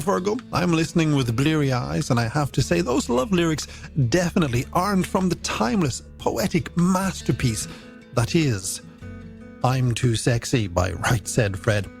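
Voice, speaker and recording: A man's voice: a little high, creaky. An Irish man hosting a late-night radio music show, presenting in a scripted, playful style with florid, tongue-in-cheek wordplay over a music bed. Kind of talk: scripted radio presenting with games and listener messages.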